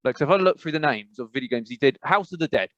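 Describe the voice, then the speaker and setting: A man with an English accent co-hosting a podcast, rambling and animated, with emphatic repetitions. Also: on the high side, fast, no room sound, muffled recording. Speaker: podcast co-host. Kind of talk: casual chat.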